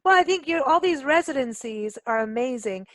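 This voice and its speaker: A woman's voice: sits high, expressive, moderate pace, no echo, clean studio sound. A woman hosting a radio interview as a casual chat, informal and effusive in how she talks.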